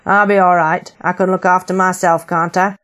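A woman's voice: on the low side, little pitch movement, normal speed, a bit bright, lightly acted. A woman voicing a blunt, tough older rebel leader, in an audiobook. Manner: blunt, gruff dialect.

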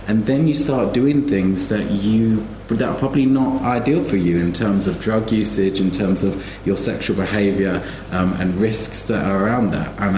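A man speaking earnestly and haltingly as a panellist in a live discussion. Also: deep voice, a little mellow. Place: live festival panel in echoey room. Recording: echoey room, faint noise.

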